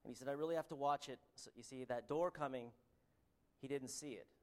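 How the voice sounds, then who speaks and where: A man with a Canadian accent preaching a sermon and reading scripture, earnest and halting, with frequent ums and restarts. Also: mid-range voice, darkish tone.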